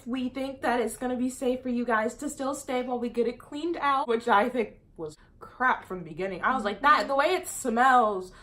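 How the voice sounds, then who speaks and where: Chatty young woman telling a story. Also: on the high side. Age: young adult. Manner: chatty and animated, says "dude" and "exactly" often. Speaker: vlogger. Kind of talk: casual storytime chat.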